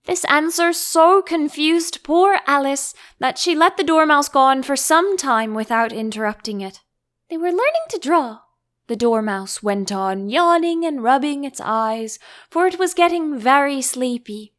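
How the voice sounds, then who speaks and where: A woman's voice: sits high, measured pace, fairly bright tone. A woman reading a storybook aloud as an audiobook narrator.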